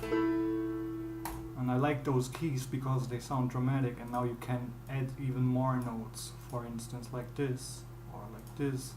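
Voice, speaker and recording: A young man with a German accent walks through a beat tutorial in English, pausing to hunt for words and restarting his sentences. His voice stays fairly flat in pitch and sounds roomy. Piano chords play clearly behind him. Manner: halting, searching for words, explaining step by step. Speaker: music producer. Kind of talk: screen-recorded beatmaking tutorial. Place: dim small room at home.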